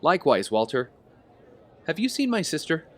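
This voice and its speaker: A man's voice: fairly high pitch, big pitch swings, normal speed, a bit bright. A man playing an earnest young vigilante heir with a double life, sincere and reflective, in an audio drama. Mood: eager, animated.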